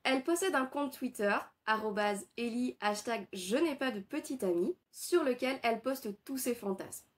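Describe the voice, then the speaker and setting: A woman with a cold, nasal and hoarse, hosting an eager manga review and reading out story summaries. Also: a little high, expressive, moderate pace, hardly any pauses. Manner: gushing, eager, warm praise. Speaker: manga reviewer and channel host. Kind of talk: enthusiastic book review with read-out summaries.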